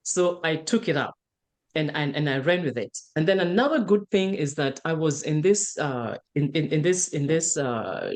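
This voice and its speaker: A woman's voice: low-pitched, animated, moderate pace, darkish tone. An earnest woman in her fifties with a Kenyan accent speaks as a counselor in an interview. She often circles back over her words and restarts her sentences while explaining her work. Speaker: counselor and trainer. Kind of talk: casual interview conversation.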